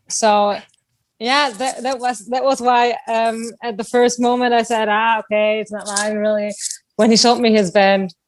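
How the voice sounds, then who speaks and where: Woman, German accent, band singer, casual interview chat, halting delivery, dry recording. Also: a little high, level tone, no room sound. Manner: halting, searching for words.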